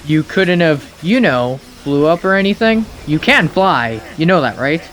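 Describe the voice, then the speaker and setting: Man, slightly high voice, animated pitch, sarcastic cutscene commentator, nitpicking, incredulous. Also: measured pace. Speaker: video commentator. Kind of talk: mocking running commentary on a game's cutscenes.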